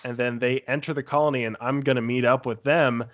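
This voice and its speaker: A man's voice: medium pitch, steady tone, fairly quick, lo-fi sound. A man talking casually and informally, rambling through stories as a podcast panelist and swearing now and then. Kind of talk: casual chat recounting tabletop game sessions.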